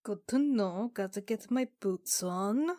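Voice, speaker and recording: A woman's voice: medium pitch, unhurried, dark tone, no room sound, clean studio sound. A woman playing a tabletop role-playing game, chatting informally and jokingly as she describes her character's actions. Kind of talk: casual improvised game chat.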